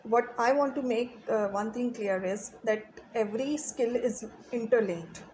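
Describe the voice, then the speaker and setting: Earnest woman teacher, pitched up. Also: a little mellow, slight background noise. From Pakistan. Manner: earnest, explanatory, pauses often to find words. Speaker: guest, English teacher and trainer. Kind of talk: interview answers.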